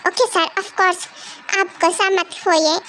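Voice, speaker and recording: A woman's voice: very high-pitched, steady tone, slow pace, sharp tone, slight background noise, heavily acted. A woman playing an apologetic male assistant. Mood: placating. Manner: apologetic, placating. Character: nervous, obedient male assistant.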